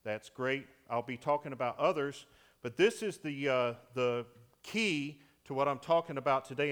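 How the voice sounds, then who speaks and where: A man, a deacon, preaching a sermon in a church with a big voice that swings from loud to hushed, speaking into a microphone he wears. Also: a little high. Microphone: wearing a microphone.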